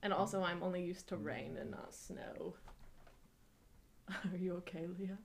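A woman's voice: fairly low pitch, unhurried, gravelly, slight background noise. A young woman from Washington state, co-hosting a casual podcast with playful, giggly banter. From Washington state, USA. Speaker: podcast co-host. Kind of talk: casual chat.

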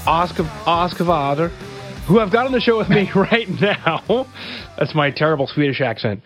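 A man's voice: on the high side, expressive, moderate pace, a bit bright, soft background music. A man hosting a podcast, upbeat and jokey, stretching out his intros in a casual chat. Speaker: podcast host.

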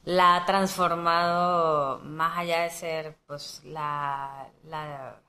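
A woman with a fairly low voice chatting on a podcast. She pauses often and searches for words, with many fillers. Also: steady tone, pushed and bright. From Mexico. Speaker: podcast co-host. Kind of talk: casual book chat.

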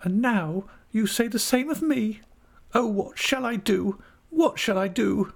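A male narrator puts on a childish young wife from a storybook, pitched high and swooping. She is tearful and wounded, throwing out dramatic reproaches in a sobbing, exclaiming manner. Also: expressive, unhurried, gravelly, a little mellow, heavily acted. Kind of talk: audiobook character voice.

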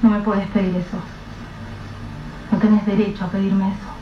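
A woman's voice: mid-range voice, flat delivery, moderate pace, natural voice. A woman playing a distraught young mother whose husband has vanished, snapping and swearing in bursts. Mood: pleading. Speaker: lead character. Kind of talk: radio drama acting. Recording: roomy, dull, noisy radio-play recording.

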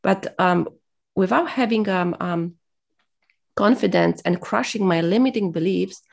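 A woman's voice: fairly low pitch, measured pace, creaky. A woman whose first language is Polish, speaking as an interview guest in a reflective, motivational way, hesitant and full of fillers as she searches for words.

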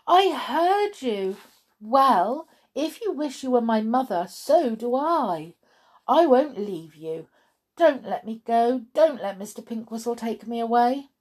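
A woman's voice: on the high side, moderate pace, creaky, a little mellow, husky, pitched above the performer's own voice. A woman voicing an affectionate, earnest young girl in a storybook, with a heartfelt, pleading manner. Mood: excited, pleading.

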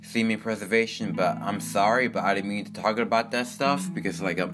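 A young man talking casually about self-care and motivation, rambling with many fillers in an upbeat, earnest way. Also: deep voice. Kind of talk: casual self-help monologue. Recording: guitar music playing underneath. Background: guitar music.

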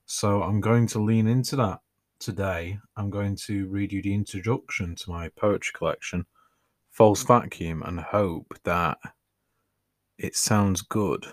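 Man, deep low voice, unhurried, dry self-mocking delivery, podcast reading. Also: steady tone. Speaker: podcast host and author. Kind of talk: reading his own writing aloud.

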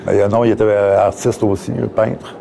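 A man with a Quebec French accent in casual chat, speaking colloquially and a little haltingly. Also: fairly low pitch, little pitch movement, gravelly, noisy background. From Matane, Quebec. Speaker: video artist and news cameraman.

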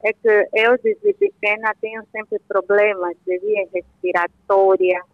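A woman's voice: medium pitch, very animated, unhurried, dry recording. A 28-year-old woman with an Angolan accent, calling a radio phone-in and casually explaining a personal problem to a doctor. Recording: dull lo-fi phone line.

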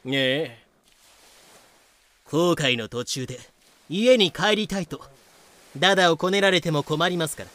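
A man's voice: fairly high pitch, a bit bright. A man playing a young pirate captain, polite and businesslike yet coolly ruthless, in a fan-made voice drama. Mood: brisk, businesslike. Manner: brisk, businesslike polite speech. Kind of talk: fan-made anime-style voice drama with narration.

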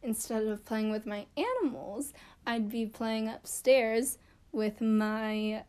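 A woman's voice: on the high side, takes it slowly, darkish tone, smooth. A young woman chatting casually to camera about her childhood, rambling and self-deprecating with lots of fillers. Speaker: vlogger.

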